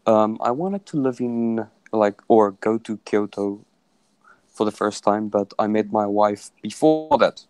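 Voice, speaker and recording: A man's voice: fairly low pitch, a little slow, a little mellow, clear, resonant tone. A man whose first language is Afrikaans, chatting on a video call, hesitant with many restarts. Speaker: guest. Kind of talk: casual video call chat.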